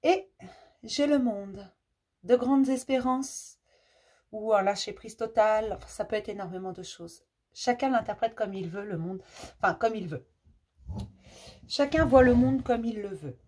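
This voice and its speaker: Husky-voiced French woman with a cold. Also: fairly high pitch, unhurried, pauses often. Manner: gentle, hesitant, many fillers and restarts; affectionate toward viewers. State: has a cold. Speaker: tarot card reader. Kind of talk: casual card reading for viewers.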